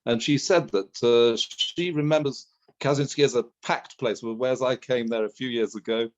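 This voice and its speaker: A man interviewing a guest, telling anecdotes in a relaxed conversational way. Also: medium pitch, normal speed, highs cut off.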